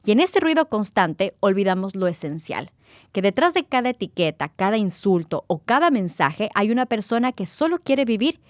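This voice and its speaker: A woman's voice: mid-range voice, normal speed, dry recording. Woman hosting a podcast, dry close sound. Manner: emphatic, expressive delivery. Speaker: podcast host. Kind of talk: interview with scripted intro.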